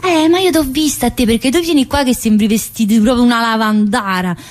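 Woman acting mouthy middle-aged jailbird lady, southern italian accent, highish voice, chatty and teasing, theatre monologue. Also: a little fast, close to an everyday voice. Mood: teasing, nosy. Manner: chatty, confrontational, with frequent interjections and questions. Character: brash, teasing, restless middle-aged female prison inmate. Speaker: stage actress performing a monologue.

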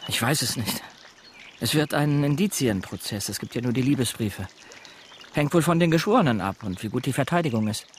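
An actor playing an earnest young fiancé who narrates a murder mystery radio play in a measured, serious way. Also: male voice, medium pitch, animated, slight background noise, lightly acted. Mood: concerned. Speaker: narrator and lead character. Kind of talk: crime radio drama narration and dialogue.

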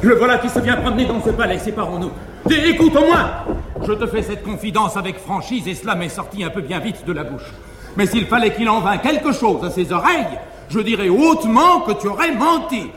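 An actor playing a garrulous, fearful but frank male valet, delivering quick, emphatic comic declamation on a 1950s live stage. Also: sits high, lively intonation, a bit bright, slight background noise. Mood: mocking, confiding. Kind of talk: classical verse-era stage acting. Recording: echoey 1950s live theatre recording, reverberant hall.

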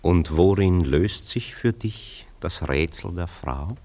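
A deep-voiced, ironic worldly sceptic. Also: male voice, expressive, slow pace, a little mellow, full character voice. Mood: gently mocking. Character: sceptical worldly male friend, gently mocking realist. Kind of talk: German radio play acting, literary dialogue.

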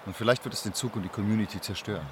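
A man's voice: on the low side, racing, noisy background, lightly acted. An actor playing a determined, worried man who leads a group and speaks earnestly in a German radio crime drama. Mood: resolute. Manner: resolute, earnest. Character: determined, worried male commune leader defying the rules.

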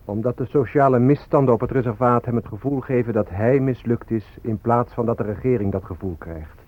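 A man's voice: medium pitch, brisk, lightly acted. Man acting a bitter activist, muffled recording. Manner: sardonic, accusing. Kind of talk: Dutch radio play acting. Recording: dull, lo-fi radio recording.

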